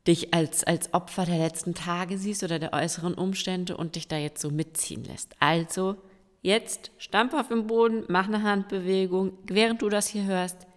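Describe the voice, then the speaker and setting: A woman of around forty, hoarse and stuffy-nosed, hosting a solo podcast and encouraging her listeners directly. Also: on the low side. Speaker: podcast host. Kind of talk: solo podcast monologue giving tips. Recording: long reverb with faint music.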